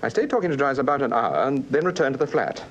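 An actor playing a calm, probing gentleman detective in a British radio mystery, with Received Pronunciation and measured, urbane questioning. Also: mid-range voice, highs cut off, natural voice. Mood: matter-of-fact. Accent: English Received Pronunciation. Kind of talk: British radio drama acting.

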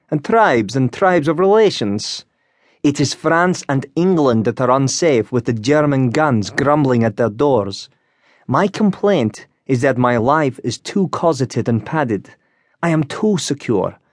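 A man's voice: fairly high pitch, dry recording, full character voice. A male narrator voices a young Russian noblewoman in a novel. She is proud and spirited, phrasing things formally and with fervour. The sound is dry and close.

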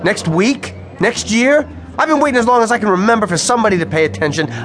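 A man's voice: sits high, lively intonation, quick pace, fairly bright tone. An actor playing a volatile working-class tough guy in a radio drama, brash and sarcastic with slangy street talk. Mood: angry, impatient.

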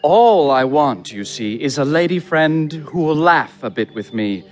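Eager, expressive young gallant. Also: male voice, fairly high pitch, unhurried. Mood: eager, candid. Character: eager young gentleman, a smooth-talking romantic. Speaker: actor. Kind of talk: theatrical verse comedy performance.

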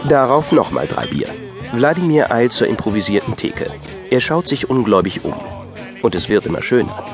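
A man's voice: mid-range voice, big pitch swings, moderate pace. A man narrating an audio drama from a script in a dryly wry storytelling manner. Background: music.

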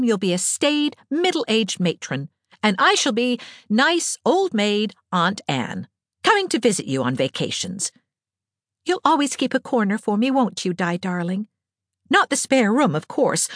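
Woman playing lively, high-voiced teenage girl, fanciful and cheery. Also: no echo, studio quality, heavily acted. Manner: wry, expressive, whimsical. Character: cheerful, fanciful eighteen-year-old girl, playful and talkative. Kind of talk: audiobook character dialogue.

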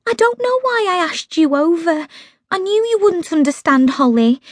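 A woman voicing a heartbroken, dreamy young woman in an audiobook, plaintive and breathy. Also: very high voice, sing-song, normal speed, no echo, clean studio sound, voice pushed up. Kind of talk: audiobook character voice.

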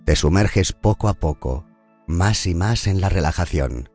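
A man narrating a scripted guided meditation in a calm, soothing and unhurried manner. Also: deep voice, soft background music.

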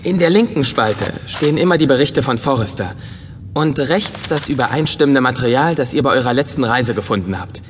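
A man playing an eager, bookish, curious robot in a science fiction audio drama, excitable, earnest and polite. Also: mid-range voice, a little fast, a little hiss or hum, lightly acted. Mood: relieved, eager. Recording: long reverberant hall sound.

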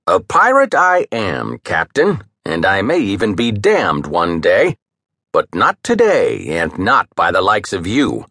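A man's voice: low-pitched, huge pitch swings, measured pace, pushed and bright, no echo, studio quality, full character voice. A man voicing a confident, swaggering pirate captain who speaks in a commanding, menacing way.

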